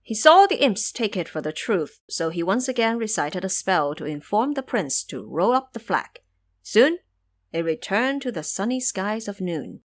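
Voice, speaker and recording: Animated woman narrating a story. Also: medium pitch, normal speed. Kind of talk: dramatic scripted storybook narration.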